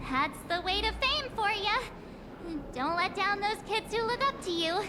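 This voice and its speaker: A woman's voice: very high-pitched, animated, unhurried, background noise. A woman voicing a bubbly, teasing young girl adventurer in an anime game. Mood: playful teasing.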